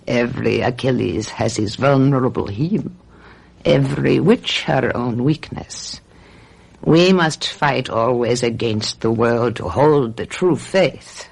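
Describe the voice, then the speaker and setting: An actor in a radio drama playing a solemn, commanding coven leader with a gravelly, husky voice and incantatory delivery. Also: male voice, medium pitch, slow, exaggerated voice. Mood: solemn, knowing.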